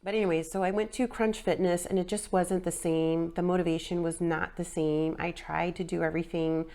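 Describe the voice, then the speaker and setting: A woman's voice: fairly low pitch, steady tone, brisk, mellow. A woman in her forties, sounding a little nasal after a sinus infection, casually rambling through a personal fitness update with lots of ums and likes. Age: 40s. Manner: rambling, filler-heavy, frequent ums and likes. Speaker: fitness vlogger.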